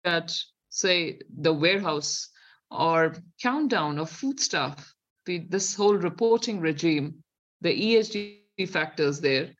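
A woman's voice: lowish voice, expressive, slow pace, dull audio. A middle-aged woman with an Indian accent, co-hosting a talk show with sardonic, questioning commentary. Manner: sardonic, questioning, reads from reports. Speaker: co-host.

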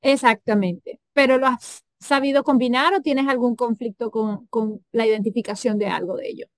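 Higher-voiced woman hosting a Spanish interview. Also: steady tone, normal speed. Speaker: radio host, interviewer. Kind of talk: radio interview.

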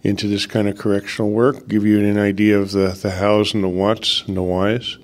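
A man with a fairly low voice opens a conference panel as its committee chair. He talks in an easygoing, self-deprecating way and leans on "uh" and "um" between phrases. It sounds like a meeting room. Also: level tone, brisk. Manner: filler-heavy, self-deprecating. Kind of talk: opening remarks on a conference panel.

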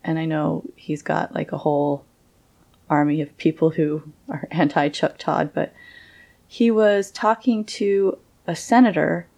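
A woman with a slightly low voice trades wry, casual banter as a podcast co-host. She tells stories with animation and laughs often. Her voice comes through clear and full even when she sits back from the mic. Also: a little slow. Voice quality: voice carries clearly from a distance. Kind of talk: casual chat.

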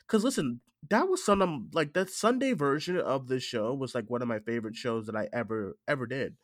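A man with a stuffy cold hosts a casual podcast, talking in a halting way that often doubles back on phrases. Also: medium pitch, lively intonation, dry recording, clean studio sound. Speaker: podcast host.

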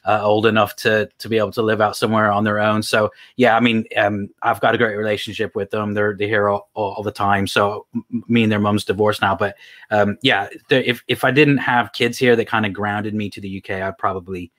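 A man's voice: on the low side, level tone, fairly quick, a bit bright. A man in his thirties with a mixed Texan, Irish and British accent, a guest talking casually about online marketing, rambling with many restarts. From United States. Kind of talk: casual interview talk.

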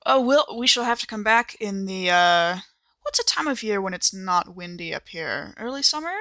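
A woman's voice: mid-range voice, animated, normal speed, fairly bright tone, dry recording, studio quality. A woman voicing a genteel, playful, theatrical lady in an improvised tabletop game, with a proper, wry, musing manner.

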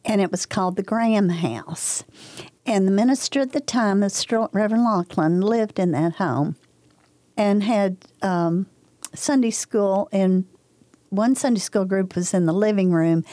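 An older woman from Kentucky recalling church memories in an interview, pausing often with many ums and uhs. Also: medium pitch, no echo. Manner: hesitant, many ums, soft-spoken. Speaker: interview guest. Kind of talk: casual reminiscing interview.

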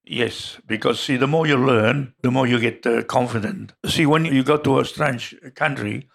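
An older man with an Italian accent, recounting his migration in an oral history interview, halting with many fillers. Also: medium pitch, vocal fry.

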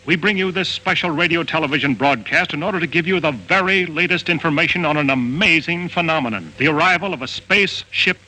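Urgent old-time radio newsman, played by a male actor: sharp, forceful tone, raised pitch, formal delivery. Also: brisk, hardly any pauses, rough recording. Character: excited male radio newsman reporting a spaceship landing. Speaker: radio announcer. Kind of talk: old-time radio news bulletin acting.

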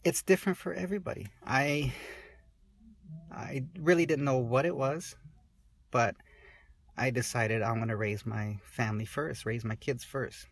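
A woman in her forties speaking candidly in an interview, halting and soft-spoken, often restarting her sentences. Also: rumbling low. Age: 40s.

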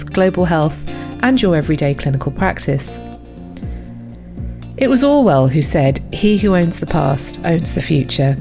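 A woman hosting a podcast panel, introducing the discussion and inviting guests to speak. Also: on the low side. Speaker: podcast host, clinical editor.

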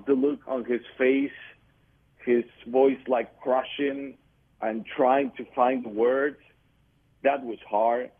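Earnest man on a tinny line. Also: medium pitch. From Venezuela. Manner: earnest, searching for words, frequent restarts. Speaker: guest soccer commentator. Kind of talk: reflective interview talk. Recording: tinny phone-line audio.

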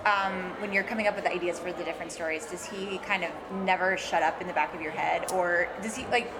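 A woman hosts a casual podcast interview, speaking quickly in a slightly low voice. Her questioning is informal and conversational. Clear ambient noise sits behind her. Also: fairly even intonation. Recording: clearly noisy background.

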